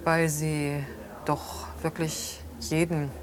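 A woman's voice: sits low, flat delivery, extremely slow, a crowd in the background. An actress playing an earnest, thoughtful woman, hesitantly explaining in natural radio drama dialogue. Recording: clearly noisy room ambience.

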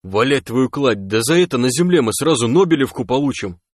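A man voicing a gruff, bossy, hot-tempered male stalker in an audiobook story, barking and cursing in folksy phrases. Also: mid-range voice, animated, fairly quick, no echo, clean studio sound, voice pushed up, natural voice. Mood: amazed. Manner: barking, colourful mock curses.

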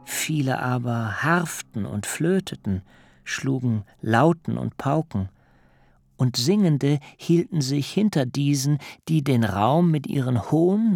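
An actor playing an awed biblical patriarch who recounts a dream vision solemnly in a literary radio drama, with measured, rapt delivery. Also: male voice, fairly high pitch, vocal fry, a little mellow, no echo, studio quality, full character voice. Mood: rapt, rising.

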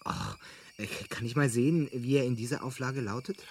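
A man's voice: mid-range voice, moderate pace, darkish tone, close to an everyday voice. An actor playing a bewildered, easily exasperated ordinary man from Earth in a radio drama. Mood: hesitant. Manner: hesitant, then exasperated. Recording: clearly noisy ambient sound bed.

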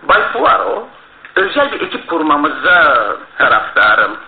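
An actor playing an earnest, well-spoken gentleman of means, eager to act, in a Turkish radio theatre production. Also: on the high side, sing-song, a little slow. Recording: phone-like noisy old radio, roomy echo.

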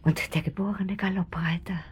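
An actress playing a gossipy, middle-aged upper-class pharmacist's wife in a German radio drama, insinuating and pointed, with trailing asides. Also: female voice, mid-range voice, fairly even intonation, moderate pace, slightly rough. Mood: catty, confiding.

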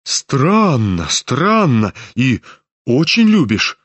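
A man's voice: medium pitch, very animated, extremely slow, fairly bright tone, no room sound, heavily acted. A man voicing a flustered elderly general and father in a storybook reading, stammering and fussy.

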